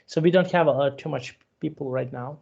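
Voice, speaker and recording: A man in his late thirties, speaking a little high for a man, teaching English patiently. He talks in halting bursts and keeps restarting his sentences. The sound is dull and lo-fi, as on an online call. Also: vocal fry, a little mellow. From Serbia. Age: 30s. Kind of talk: one-on-one online English lesson.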